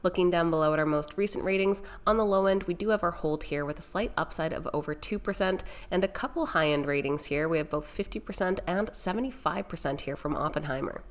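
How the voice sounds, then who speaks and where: Young female market-video host, low-ish and nasal with sinus congestion, upbeat scripted delivery to camera. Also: brisk, hardly any pauses. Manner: upbeat, direct address to viewers. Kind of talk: scripted market news presenting.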